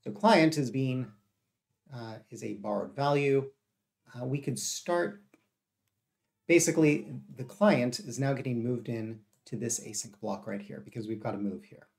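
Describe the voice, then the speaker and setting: A man with a cold and a gravelly voice, thinking aloud as he codes live and explains each step. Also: medium pitch, unhurried. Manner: steady explaining with frequent uh and self-corrections. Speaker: live coding streamer.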